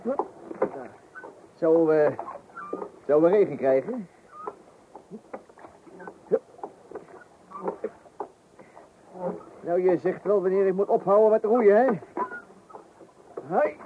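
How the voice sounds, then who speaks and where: An actor playing a good-natured, hesitant novice who chats colloquially in a comic radio play. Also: male voice, sits high, slow pace, long pauses. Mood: effortful, uneasy. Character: good-natured, hesitant novice angler telling his misadventure. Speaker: narrator and lead character. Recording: muffled, noisy old radio recording.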